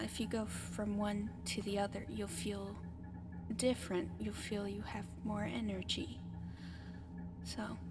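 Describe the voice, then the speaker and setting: Woman playing a gentle female alien, slow halting speech, mid-pitched, hesitant new learner of human language, ASMR roleplay, noticeable background noise. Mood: explaining, hesitant. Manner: halting, hesitant, searching for words. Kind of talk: ASMR roleplay addressed to the listener. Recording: clearly noisy background ambience.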